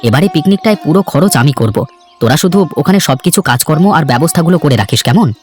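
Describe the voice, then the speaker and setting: Man acting cheerful young village man, cartoon dialogue, quick, friendly, eager, faint music behind. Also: fairly high pitch, animated, natural voice.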